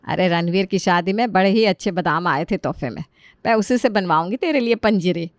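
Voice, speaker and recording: A woman's voice: fairly low pitch, fairly quick, no echo, studio quality, natural voice. A woman voicing a doting, hospitable middle-aged mother in a story, effusive and reassuring.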